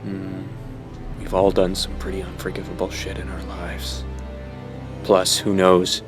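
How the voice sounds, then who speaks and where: A man's voice: low-pitched, completely flat delivery, measured pace. An actor playing a crude, cocky, lecherous teenage boy with a smug manner in an audio drama. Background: music behind the voice.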